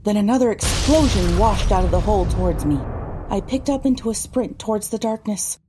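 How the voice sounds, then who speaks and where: A woman's voice: medium pitch, lively intonation, fairly quick, background noise. A woman delivering scripted, first-person narration for an audio drama.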